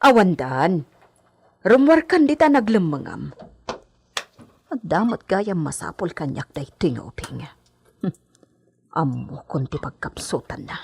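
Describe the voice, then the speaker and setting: An excitable, nosy servant woman played by an actress in a radio comedy drama. Her pitch swings widely, over a slightly noisy recording. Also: unhurried, gravelly, long pauses, full character voice. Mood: grumbling.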